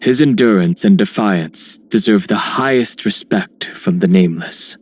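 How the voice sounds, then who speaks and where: A man playing a calm, reserved young guardian in a video game, speaking in a measured, grave way. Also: fairly low pitch. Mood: solemn respect. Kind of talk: scripted game character voicelines.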